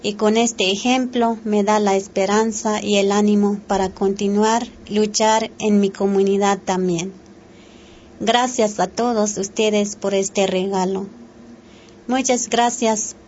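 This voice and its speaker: A woman radio announcer reading a listener's letter aloud in a plain, steady way. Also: medium pitch. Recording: dull lo-fi radio with faint hiss.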